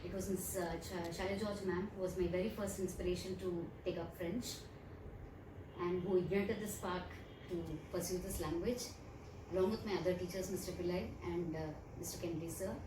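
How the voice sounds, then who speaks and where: A woman's voice: lowish voice, fairly even intonation. Woman teacher, echoey and distant. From India. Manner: reflective, halting with fillers. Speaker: French teacher. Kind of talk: recorded testimonial. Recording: echoey, distant mic with background noise.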